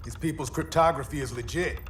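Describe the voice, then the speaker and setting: An actor playing a cocky, sneering cyborg superhero in a video game cutscene. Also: male voice, on the high side, fairly quick, fairly bright tone, a little hiss or hum, lightly acted. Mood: smug. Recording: echoing, music behind.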